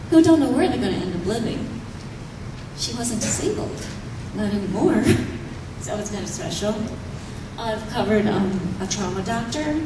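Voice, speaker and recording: A woman with a low voice, chatting through anecdotes to an audience in a room and laughing often as she talks. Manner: chatty, laughs often while telling stories. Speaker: newspaper writer giving a talk. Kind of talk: casual anecdotal speech to an audience. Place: event room. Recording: echoey room, from a distance, noisy.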